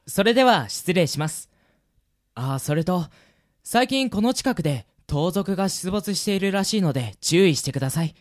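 A man's voice: sits high, lively intonation, moderate pace. A man playing a polite, earnest young mage and loyal younger brother in an amateur radio drama, courteous in his wording. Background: music.

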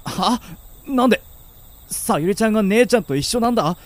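A woman's voice: mid-range voice, expressive, brisk, no room sound, lightly acted. A woman playing a curt, grumpy but secretly caring teenage boy in a radio drama. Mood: grumpy, flustered. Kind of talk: amateur radio drama acting.

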